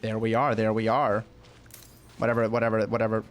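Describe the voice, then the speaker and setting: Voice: medium pitch, flat delivery, fairly quick. A game streamer giving casual, slangy playthrough reactions, gushing and often repeating phrases. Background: game music.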